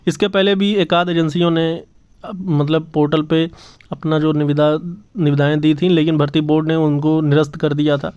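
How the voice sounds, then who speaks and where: A man's voice: on the high side, flat delivery, moderate pace, a little mellow, smooth. A man hosting his channel's job exam news update, talking earnestly and repeating himself with many fillers. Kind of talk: casual news update to camera. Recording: slightly dull lo-fi audio.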